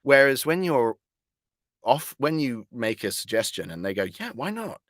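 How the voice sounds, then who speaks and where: Guy in an interview, dry close sound, middle pitched, wordy and kinda whimsical. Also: big pitch swings, normal speed, dry recording. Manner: articulate, wordy, whimsical turns of phrase. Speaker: guest, voice actor. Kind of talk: conversational interview.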